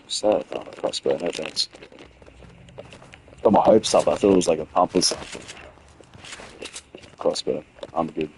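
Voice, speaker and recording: A young man with a hoarse, sick-sounding voice gives rambling, swearing commentary as he streams a game and chats with viewers. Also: very deep voice, normal speed, pauses often, a little mellow, slight background noise, rough recording. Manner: rambling, swearing, frequent restarts. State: hoarse from a cold. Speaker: gaming streamer. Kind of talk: casual livestream gameplay commentary.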